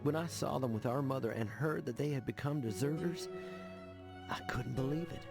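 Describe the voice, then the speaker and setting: An actor playing a devout, sorrowful younger brother in a Western game, speaking solemnly and earnestly. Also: male voice, medium pitch, moderate pace, creaky, over music, lightly acted. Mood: mournful. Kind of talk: dramatic game narration and acted dialogue.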